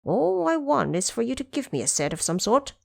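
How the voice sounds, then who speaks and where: A woman's voice: on the high side, big pitch swings, fairly quick, gravelly, a little mellow, dry recording, studio quality, pitched above the performer's own voice, exaggerated voice. A woman voicing a brash, cocky male monkey immortal who talks boldly and makes demands, in an audio drama. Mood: bossy. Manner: bold, demanding.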